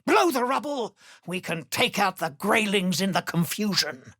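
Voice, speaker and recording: Gruff, desperate miner, swinging pitch. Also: female voice, big pitch swings, a little slow, a bit bright, no echo, clean studio sound, heavily acted. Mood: urgent. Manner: urgent, gruff, emotionally intense. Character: desperate, tough female miner held captive underground. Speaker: video game character voice. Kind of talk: scripted game character lines.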